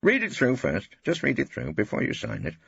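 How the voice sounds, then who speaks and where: A man's voice: medium pitch, huge pitch swings, brisk, gravelly, fairly bright tone, breathy edge, dry recording, lo-fi sound, voice pushed up, exaggerated voice. A man playing a shrewd, combative old English barrister in an audiobook, insistent and given to pompous rhetorical flourishes. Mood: coaxing, probing.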